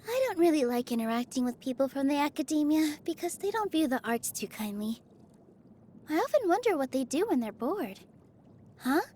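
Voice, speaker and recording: An actress voicing a gentle, cheerful young woman dancer in a video game, speaking in a sweet, earnest, easily flustered manner. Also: high-pitched, slight background noise. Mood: dreamy, admiring, then surprised. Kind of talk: video game character voice lines.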